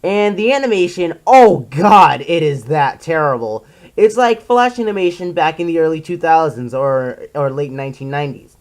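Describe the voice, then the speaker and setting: A person giving casual, opinionated commentary as a video reviewer, in an exasperated, emphatic ranting manner with drawn-out stressed words. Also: mid-range voice, expressive. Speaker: video reviewer presenting a countdown list.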